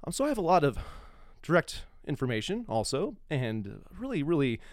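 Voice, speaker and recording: American man hosting a podcast, lively intonation. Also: on the high side, moderate pace, no room sound, studio quality. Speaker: podcast host. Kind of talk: casual podcast introduction.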